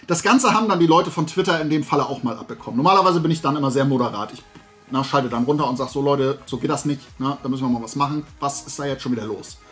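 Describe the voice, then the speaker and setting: A man in his forties telling an anecdote casually to camera, animated and with sarcastic asides. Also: mid-range voice, fast, faint music behind. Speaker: host of a talk and toy channel. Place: small room at home with shelves of collectibles.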